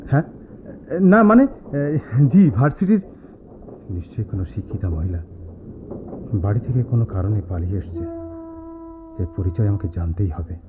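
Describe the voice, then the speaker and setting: An actor playing a quiet, studious young man in a radio drama, speaking reflectively with dramatic pauses. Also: fairly low pitch, big pitch swings, noisy background. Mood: pensive, hesitant. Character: quiet, studious young man recalling his student hostel days. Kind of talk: Bengali radio drama acting. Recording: tinny lo-fi radio play, music behind.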